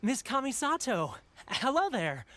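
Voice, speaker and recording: Lively festival fortune seller. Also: female voice, on the high side, unhurried. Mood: welcoming. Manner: deferential, chatty, inviting. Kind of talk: video game voice acting.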